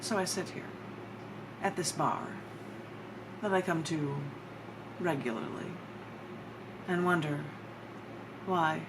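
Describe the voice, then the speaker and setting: Low-voiced woman poet, noisy recording. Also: slow pace, pauses often. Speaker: poet reading own work. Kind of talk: live poetry reading with chatty introductions.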